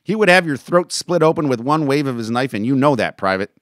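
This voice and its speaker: A man's voice: mid-range voice, very animated, quick pace, fairly bright tone, no room sound, clean studio sound, pitched above the performer's own voice. A man voicing a stern, exasperated army company captain in an audiobook, with a barking, commanding manner. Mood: sneering, scolding. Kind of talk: audiobook character acting.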